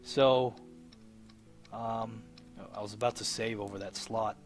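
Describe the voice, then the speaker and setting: A man's voice: on the low side, fairly even intonation, slow pace. A man commentating casually on a game as he plays, reading bits aloud and tossing in joking reactions. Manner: joking asides and exclamations over the story. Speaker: let's play host. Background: game music with plucked guitar.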